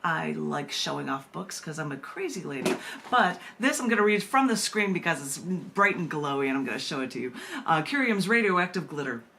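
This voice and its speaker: A woman poet reading at a live open mic, animated and self-amused, with rambling, chatty asides. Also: low-pitched, fairly quick, vocal fry, slight background noise.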